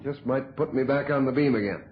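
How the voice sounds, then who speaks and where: An actor playing a brisk, unapologetic, opportunistic newspaper reporter in an old radio drama. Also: male voice, mid-range voice, a little hiss or hum, natural voice. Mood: defensive. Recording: dull, lo-fi old radio recording.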